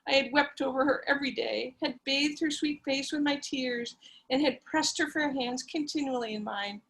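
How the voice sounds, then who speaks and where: A woman's voice: high-pitched, normal speed, creaky, a bit bright, rough recording. A woman narrating and acting the lead in a dramatic reading over a video call, with theatrical, melodramatic delivery.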